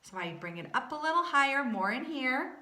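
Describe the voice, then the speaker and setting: A woman teaching a vocal warm-up lesson for choir singers, chatty and casual, with a naturally low speaking voice. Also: very animated, brisk, roomy sound. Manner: chatty, demonstrates belting and singing.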